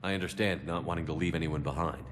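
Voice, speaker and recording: An actor playing a steady male military commander, direct and authoritative, in a video game cutscene. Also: deep voice, fast talker, noisy background, lightly acted. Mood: firm, composed.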